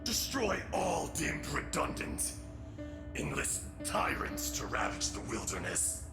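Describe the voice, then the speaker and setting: A man voicing a vengeful, proud ancient dragon in a video game, gravelly, theatrical and scornful, with an echo on the voice. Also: medium pitch, big pitch swings, takes it slowly, heavily acted. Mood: bitter scorn. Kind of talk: dramatic video game voice acting. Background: game music.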